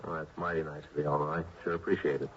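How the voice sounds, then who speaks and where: A man's voice: rumbling low, flat delivery, quick pace. A man playing a kindly, laconic police sergeant in 1950s radio drama, American, with clipped, fatherly understatement. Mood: modest, kind. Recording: dull, faintly noisy old radio recording.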